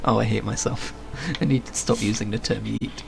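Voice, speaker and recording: A man's voice: fairly low pitch, flat delivery, normal speed, gravelly, background noise. A man live-streaming a game, reacting casually and wryly and reading quest text aloud. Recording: game music behind. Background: game music.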